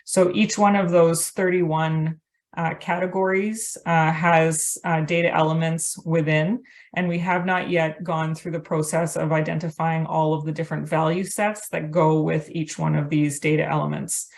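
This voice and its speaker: A woman's voice: deep voice, level tone, normal speed, vocal fry. A woman presenting slides at a workshop in a hesitant way, with frequent ums and uhs.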